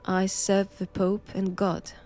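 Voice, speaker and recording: A woman playing a solemn, assured Vatican nun who hunts knowledge of vampires, with a French accent and a hushed, deliberate delivery in an intimate audio roleplay. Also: mid-range voice, monotone, faint music behind, natural voice. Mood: calm, assured.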